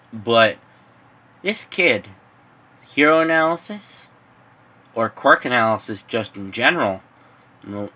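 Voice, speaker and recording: A man narrating an improvised fan-fiction story in a halting way, repeating and rephrasing phrases as he goes. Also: mid-range voice, pushed and bright, poor audio.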